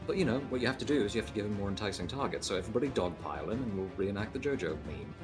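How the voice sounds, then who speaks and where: Male tabletop player, lowish voice, casual and jokey, clear background music. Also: expressive, rapid-fire. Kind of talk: casual joking chat during a game.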